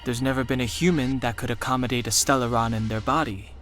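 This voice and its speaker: An actor voicing a calm, serious, analytical young man in a video game, measured and matter-of-fact. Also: medium pitch, soft background music, close to an everyday voice. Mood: grave.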